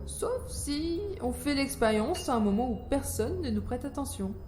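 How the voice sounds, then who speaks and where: A woman's voice: high voice, lively intonation, normal speed, a little mellow, slight background noise. A woman playing an ambitious, calculating scientist in an audio drama, articulate, self-assured and coolly persuasive. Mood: scheming, persuasive. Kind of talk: scripted audio drama acting. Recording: roomy sound, faint music behind.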